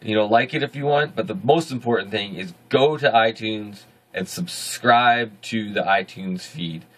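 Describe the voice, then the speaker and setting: A man in his thirties with a deep voice, hosting a casual video diary from a small home studio, rambling and correcting himself as he goes. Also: measured pace. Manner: rambling, self-correcting, upbeat. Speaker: video journal host.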